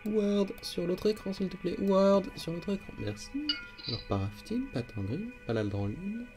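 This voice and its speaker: A man's voice: sits high, expressive, moderate pace, loud music behind the voice. A man running a tabletop role-playing game as game master, often hesitating and repeating words while he thinks.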